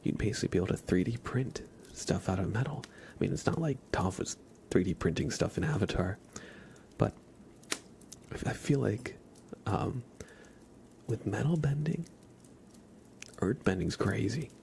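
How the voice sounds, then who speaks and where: Male ASMR streamer, casual and hushed, dips into whispers, lots of vocal fry, slight background noise. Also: lowish voice, animated, normal speed, long pauses. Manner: soft-spoken, often dropping into a whisper. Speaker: livestream host. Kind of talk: ASMR, casual rambling chat with live viewers.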